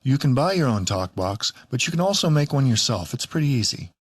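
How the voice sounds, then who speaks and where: A man's voice: mid-range voice, big pitch swings, a little fast, slightly rough, no room sound, studio quality. A man presenting a casual DIY tutorial in a plain-spoken, lightly wry way. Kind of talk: casual how-to narration.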